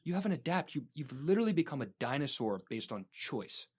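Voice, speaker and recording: A man's voice: a little high, big pitch swings, brisk, slightly rough. A man hosting a podcast, talking in an earnest, reflective way with some "um" and "like". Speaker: podcast host. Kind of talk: casual podcast conversation.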